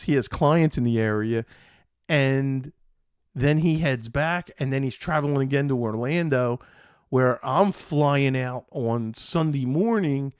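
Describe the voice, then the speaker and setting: A man hosting a tech show, talking casually and unscripted as an IT technician, often pausing mid-sentence and repeating phrases. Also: mid-range voice, darkish tone, no echo, studio quality. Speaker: IT support technician.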